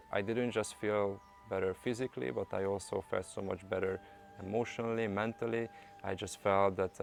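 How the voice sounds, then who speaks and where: Low, gravelly man with a flat delivery. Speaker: client giving a testimonial. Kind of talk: casual spoken testimonial.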